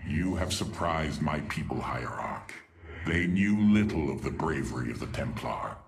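A man voicing a cruel, arrogant alien warlord in a video game, gravelly, imperious and full of contempt. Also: rumbling low, lively intonation, unhurried, very noisy, full character voice. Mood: haughty menace. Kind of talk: theatrical video game voice acting.

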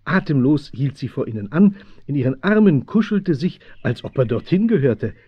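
A man as the measured, suspenseful narrator of a German children's radio play, with a husky voice. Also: on the high side, big pitch swings, no echo. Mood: storytelling. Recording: muffled 1970s radio play recording.

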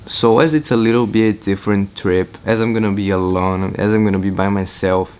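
A teenage boy from Brazil, Portuguese native, chatting excitedly in a casual vlog update from his small bedroom, rambling and restarting often. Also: on the low side, a little fast, clear, resonant tone. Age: teens. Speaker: vlogger. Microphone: camera-mounted shotgun mic.

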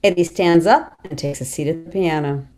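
A middle-aged woman narrates a screenplay's stage directions. Her voice sits a little low, with a dark, relaxed tone. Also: moderate pace. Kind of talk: scripted narration. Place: small plain room at home, on video call.

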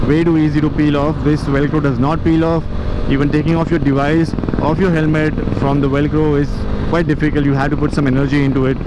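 A man with an Indian accent giving a casual, rambling gear review as a motorcycle vlogger, often repeating and rephrasing himself. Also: fairly high pitch. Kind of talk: casual spoken product review while riding. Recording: outdoor traffic and vehicle noise.

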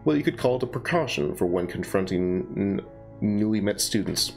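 A man voicing a poised, precise young woman student who explains things in a measured, clinical way. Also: lowish voice, expressive, creaky, darkish tone, soft background music. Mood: composed.

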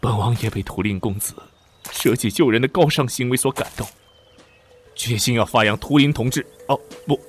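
A man's voice: mid-range voice, moderate pace, soft background music, natural voice. A man playing a melodramatic young prince in a Chinese costume audio drama, theatrical and stammering when flustered. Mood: mournful, pompous. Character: young lecherous prince, secretly another soul, theatrically grieving and scheming.

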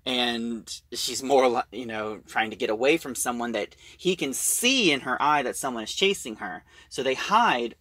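A man giving a casual, halting recap of a story as a reviewer, in an informal way. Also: medium pitch, expressive, bright, forceful tone, no echo.

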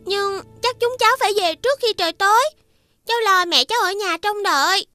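A woman's voice: very high voice, fairly quick, pushed and bright, soft background music. A woman voicing a sweet, kind and polite young girl in a cartoon.

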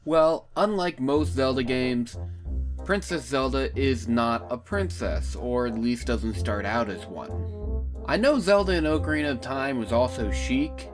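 A man's voice: mid-range voice, animated, measured pace. A man giving a casual, scripted opinion narration as a video game reviewer. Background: background music.

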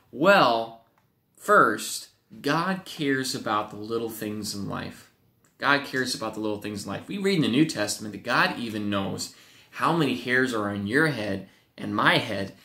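A young man leads a children's Bible lesson from a small home office, his voice crisp and bright. He tells the story with animated energy and slips into playful acted-out voices. The webcam catches a roomy echo off the walls. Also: mid-range voice. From Wisconsin, USA. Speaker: youth pastor. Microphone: webcam at desk.

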